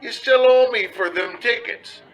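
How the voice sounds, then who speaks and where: A man playing an excitable, grumbling policeman in a comic noir radio drama, animated and full of folksy slang. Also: very high-pitched, measured pace, faint music behind, low-quality recording. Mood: nagging. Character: excitable, grumbling male policeman, detective's pal.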